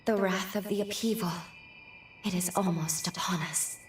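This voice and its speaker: An actress playing a solemn, gentle mystic in a video game, speaking gravely and earnestly in formal words. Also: female voice, medium pitch, fairly even intonation, normal speed, slight background noise, lightly acted. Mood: grave, foreboding. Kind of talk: dramatic video game acting. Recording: echoey, distant, music underneath.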